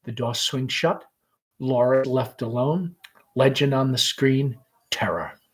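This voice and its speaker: A man's voice: mid-range voice, fairly even intonation, takes it slowly, breathy edge. A man reading a play aloud, narrating its stage directions as a school principal in a read-along.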